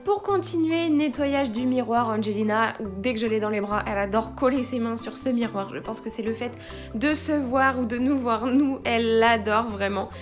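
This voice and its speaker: A woman's voice: fairly high pitch, moderate pace. A woman in her twenties, a young mother, chatting through a cleaning vlog voice-over and praising a product with enthusiasm. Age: 20s. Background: faint background music.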